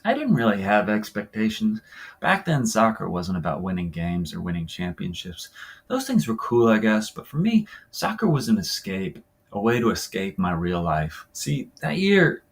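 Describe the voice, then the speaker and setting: A weary former girls' soccer player, read by a man in a husky voice. The delivery is frank, downbeat and conversational, like an interview monologue from an oral-history novel. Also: on the low side, heavily acted. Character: woman soccer player wearily recalling a crappy teenage life.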